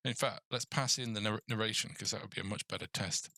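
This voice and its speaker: Male tutorial host, low bright voice, muttering through code in a casual way, close dry mic. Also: fast talker, dry recording. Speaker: game development tutorial host. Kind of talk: casual coding tutorial, thinking aloud.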